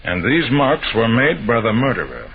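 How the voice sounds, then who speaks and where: An American actor playing a confident, clever master detective in a 1940s radio drama, explaining things with assurance. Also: male voice, medium pitch, very animated, normal speed, gravelly, crowd noise. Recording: tinny, noisy old radio recording.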